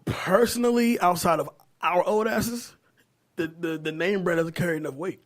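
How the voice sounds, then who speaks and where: American guy on a podcast, kinda high voice, slangy animated movie chat. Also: normal speed. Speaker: podcast panelist. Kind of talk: casual chat about movies.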